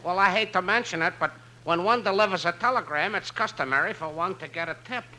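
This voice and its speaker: A man's voice: high-pitched, fast, sharp tone. A man playing a scheming telegram messenger, deferential, hesitant and plaintive, in 1950s radio comedy. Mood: meek, hinting. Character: scheming telegram messenger with a hard-luck sob act. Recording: noisy old 1950s radio broadcast.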